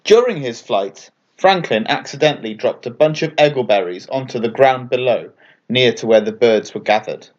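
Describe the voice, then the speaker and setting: A man's voice: medium pitch, expressive, normal speed, highs cut off. A man narrating a scripted children's bedtime story as a storyteller. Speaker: author and storyteller.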